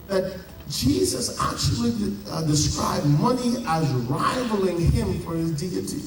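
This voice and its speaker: A Bahamian man preaching a sermon as pastor, with dramatic pauses and drawn-out emphasis. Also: high voice, noisy background. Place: large church. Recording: echoey church heard from a distance.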